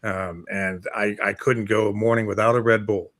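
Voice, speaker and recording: A man with a fairly low voice co-hosts an easygoing morning chat show. His talk is informal and rambling, often halting as he feels for the next phrase. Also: steady tone, a bit bright.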